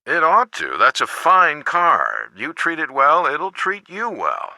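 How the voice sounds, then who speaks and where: A caring American father in a mystery game. His voice is very bright and forward, with animated intonation. He speaks articulately, giving fatherly advice, and sounds close and dry. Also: fairly high pitch, slow, creaky, no room sound, exaggerated voice. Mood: friendly, encouraging. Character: teenage detective's caring, measured lawyer father. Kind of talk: video game voice acting.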